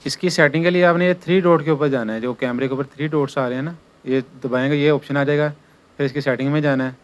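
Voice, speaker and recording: Clear-voiced man giving a hands-on tutorial. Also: on the high side, steady tone, fast talker, dull audio. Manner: explains step by step, repeating instructions. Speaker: electrician giving installation tutorial. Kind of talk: informal hands-on tutorial narration.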